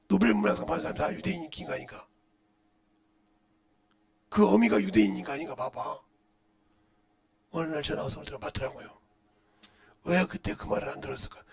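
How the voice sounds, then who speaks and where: A middle-aged man preaching as a pastor in a blunt, scolding manner, firing rhetorical questions and swinging between muttered asides and loud outbursts. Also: creaky. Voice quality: loud, booming voice. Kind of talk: impassioned Korean-language sermon with rhetorical questions.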